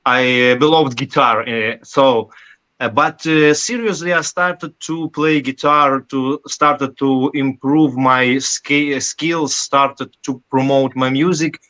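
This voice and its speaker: Bright-voiced Ukrainian man, halting English. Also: medium pitch, fairly even intonation. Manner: halting non-native English with many pauses. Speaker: guest musician. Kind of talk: interview answers.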